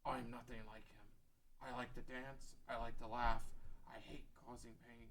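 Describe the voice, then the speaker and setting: A man playing a gentle, self-doubting chief of rock people in an amateur fantasy table read. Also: medium pitch, level tone, normal speed, natural voice.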